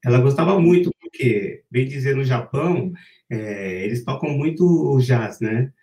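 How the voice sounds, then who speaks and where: A man telling a story in a relaxed, rambling way, keeps tagging his lines with little questions. He sounds set back from the mic, in a roomy space with plenty of echo. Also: medium pitch, unhurried, recorded from a distance. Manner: rambling, frequent "né?" tags. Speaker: interview guest, singer. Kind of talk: casual storytelling.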